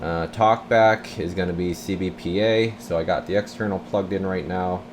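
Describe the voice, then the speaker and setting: A man with a very low voice talks casually through his bench work like a repair technician. His delivery is informal and rambling, explaining as he goes. A steady noise sits clearly behind him in the workshop recording. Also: normal speed. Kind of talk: casual hands-on demonstration vlog. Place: indoor radio repair workbench.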